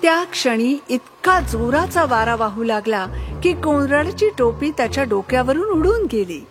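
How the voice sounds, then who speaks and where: A woman's voice: high-pitched, sing-song, moderate pace, faint music behind. A woman narrator reading a scripted fairy tale for children.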